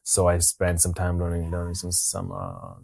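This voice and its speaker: A man with a very low, deep voice hosts a relaxed podcast conversation. He talks in a halting way, stopping and restarting his sentences often. The sound is dry and close, with little room echo. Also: vocal fry. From Mozambique. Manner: halting, many restarts and fillers. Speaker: podcast host. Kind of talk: casual interview chat.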